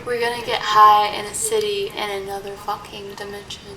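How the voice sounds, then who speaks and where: A woman's voice: mid-range voice, level tone, fairly quick, distant mic, lightly acted, poor audio. Blunt sarcastic female sidekick played by a woman, casual delivery, slightly off-mic, crowd behind.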